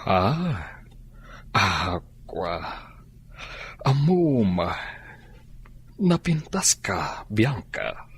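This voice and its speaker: A man acting a gruff philandering husband in a radio drama, with an Ilocano accent. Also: lowish voice, big pitch swings, extremely slow, long pauses, heavily acted. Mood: coy flirting. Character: gruff philandering husband and businessman, smooth with women.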